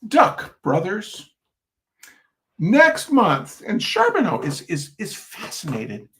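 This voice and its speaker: A man reading comic essays aloud to listeners in a theatrical, expressive way, breaking off for chatty, wry asides. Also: high voice, takes it slowly, a little hiss or hum. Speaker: reader of humorous essays.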